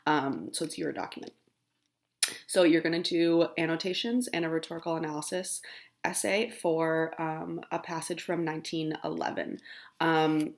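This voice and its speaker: A young woman teacher with a crackly morning voice, talking casually to her class with lots of ums. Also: lowish voice. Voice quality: gravelly. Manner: casual with many ums, encouraging. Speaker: high school teacher. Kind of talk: recorded lesson to students.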